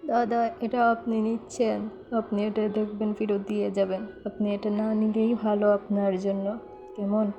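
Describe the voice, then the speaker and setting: A woman voicing an ominous, insistent stranger who speaks in measured warnings, for a cartoon. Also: mid-range voice, flat delivery, lo-fi sound, faint music behind, lightly acted.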